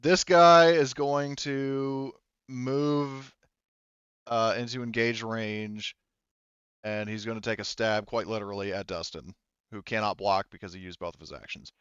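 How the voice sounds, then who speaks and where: Low-voiced, smooth man running a game. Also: fairly even intonation, a bit bright, no echo, dull audio. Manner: casual, sweary, halting delivery. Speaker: game master. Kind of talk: narrating a tabletop roleplaying game.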